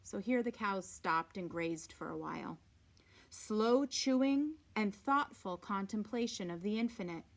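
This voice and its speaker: A woman reading a storybook aloud with deliberate, dramatic phrasing and informal asides, sometimes dropping to a hush. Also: fairly high pitch. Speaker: read-aloud reader.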